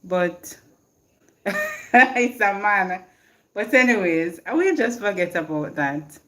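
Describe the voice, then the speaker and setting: Jamaican woman, live-stream host, unhurried talk, reads viewer comments and laughs, phone mic. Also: medium pitch, lively intonation, pushed and bright. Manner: reads viewer comments aloud and answers them, laughs often. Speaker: livestream host. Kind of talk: casual live-stream chat.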